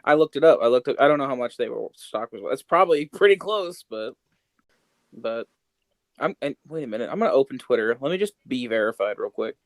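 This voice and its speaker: A man's voice: mid-range voice, expressive, brisk, no echo. A man co-hosting a podcast in casual, joking chat, with a playful, slangy way of talking. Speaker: podcast co-host.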